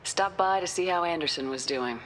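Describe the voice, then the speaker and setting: A woman's voice: fairly low pitch, a little fast, a little hiss or hum, natural voice. An actress playing a resolute, no-nonsense female space soldier in a video game, direct and assertive. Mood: businesslike. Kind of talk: video game dialogue acting.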